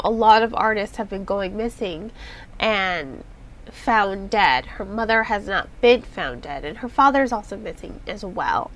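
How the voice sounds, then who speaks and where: A woman's voice: mid-range voice, a little hiss or hum. A congested woman with a nasal voice, hosting a podcast and talking through a book review in a chatty, rambling way with lots of fillers. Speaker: podcast host reviewing books. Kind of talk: casual spoken book review.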